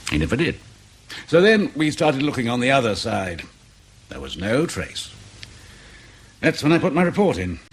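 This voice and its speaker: A man's voice: medium pitch, sing-song, normal speed, pauses often, slight background noise. An actor in radio drama playing a defensive spy in his late forties, curt, sardonic and guarded. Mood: terse, weary. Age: 40s. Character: bitter, defensive veteran British spy, aged forty-nine.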